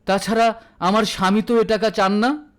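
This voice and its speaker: A man plays a young married daughter in an audio story, in a fairly high voice. She is proud and hurt. Her manner is earnest, firm and defiant. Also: flat delivery, normal speed, clean tone, natural voice. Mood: indignant. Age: young adult.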